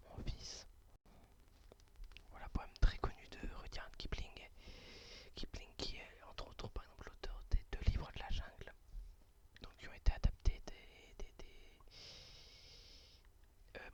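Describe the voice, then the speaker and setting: A whispered ASMR host reading poetry and chatting about books in a halting, informal way, sex unclear. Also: a bit bright. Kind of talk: whispered ASMR poem reading and book chat.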